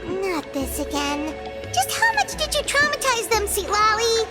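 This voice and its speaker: A tiny cheeky game companion, with an extremely high childlike voice. The fairy is chirpy and blunt, over clear background music. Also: female voice, big pitch swings, unhurried, heavily acted. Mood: exasperated. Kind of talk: anime-style video game voice acting.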